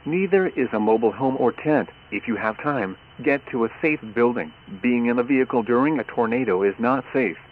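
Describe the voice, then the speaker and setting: A synthetic man's voice reads out a weather radio bulletin in a steady, measured, instructional manner. It sits at an ordinary middle pitch for a man. The sound is squeezed thin, like a phone line, with a faint hiss underneath. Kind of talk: automated weather broadcast.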